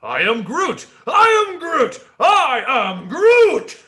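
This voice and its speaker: A man voicing a tree creature that only ever repeats one short phrase, sometimes shouting it. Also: very high voice, wildly swooping pitch, extremely slow, pitched above the performer's own voice, full character voice. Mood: declaring. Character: Groot, tree creature who only says his name. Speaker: podcast co-host.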